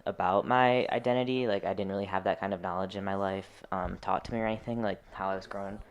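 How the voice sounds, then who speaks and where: Young man, low gravelly fry, smooth resonance, hesitant, casual. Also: fairly even intonation, fast. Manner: hesitant, rambling, frequent "like". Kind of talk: casual personal reflection.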